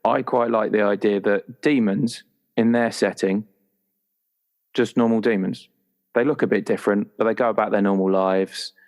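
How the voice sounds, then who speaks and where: A man's voice: on the low side, fairly even intonation, moderate pace, darkish tone. A man chatting casually as a roleplayer talking through his game character. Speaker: podcast guest, tabletop roleplayer.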